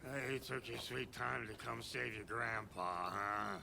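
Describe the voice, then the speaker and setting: An actor playing a crude, gruff but affectionate old grandfather in a video game, with a gravelly voice and a sweary way of talking. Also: medium pitch, unhurried, bright, forceful tone, a little hiss or hum, full character voice. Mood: grudging, grateful. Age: older.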